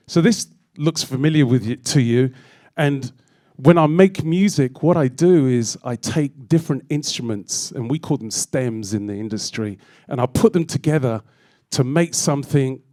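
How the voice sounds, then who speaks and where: A man's voice: medium pitch, warm tone, dry recording, studio quality. Chatty London man addressing a crowd. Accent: London English. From London, England. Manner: friendly, chatty, with frequent ums. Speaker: guest speaker. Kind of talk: live talk to an audience. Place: event hall with audience.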